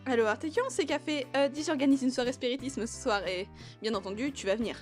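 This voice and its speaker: A woman playing a bossy, breezy séance hostess in an amateur comedy audio skit, brisk and flippant. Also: high voice, faint music behind, lightly acted.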